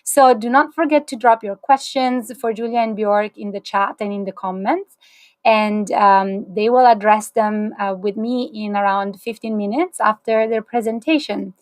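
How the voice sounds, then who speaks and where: A woman's voice: medium pitch, steady tone, moderate pace, no echo. Upbeat woman hosting a video call. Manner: warm, upbeat, with fillers and small restarts. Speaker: host. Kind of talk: livestream webinar hosting. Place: small indoor room, plain wall.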